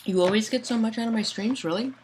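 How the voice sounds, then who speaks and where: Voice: medium pitch, fast, slight background noise. An author livestreaming to patrons, chatting loosely and answering chat messages aloud, with many fillers and restarts.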